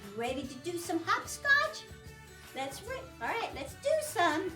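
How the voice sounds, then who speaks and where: A woman's voice: very high voice, very animated, unhurried, music in the background. A woman reading aloud at a children's storytime as a librarian, in a sing-song manner with simple questions to young listeners.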